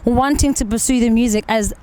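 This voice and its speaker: A woman's voice: fairly high pitch, fairly quick, warm tone, a little hiss or hum. A woman hosting a casual interview with musicians. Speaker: interview host.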